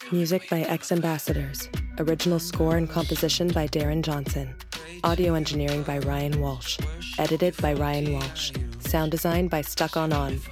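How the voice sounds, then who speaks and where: A woman reading scripted credits as the announcer of a podcast. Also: low-pitched. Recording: music under the voice. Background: music.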